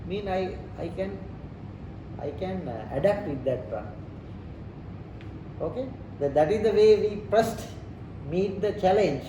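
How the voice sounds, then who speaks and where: A man's voice: sits high, expressive, a little slow, pauses often, mellow. A man from Sri Lanka with a Sri Lankan accent, speaking non-native English as he explains ideas step by step, often repeating phrases. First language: not English. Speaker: interviewee explaining leadership and culture. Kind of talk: informal explanatory teaching talk. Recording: roomy, distant mic, noisy background.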